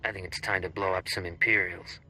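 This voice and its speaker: An actor playing a cold, ruthless undercover agent in a video game, curt and commanding. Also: male voice, low-pitched, fairly even intonation, a little fast, pushed and bright, heavily acted, poor audio. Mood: confident, gruff.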